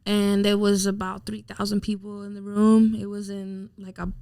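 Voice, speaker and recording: A woman's voice: mid-range voice, monotone, normal speed, creaky, mellow, clean tone, dry recording, clean studio sound. A woman in her thirties, a musician guest in a casual interview, telling her story candidly and with animation. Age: 30s.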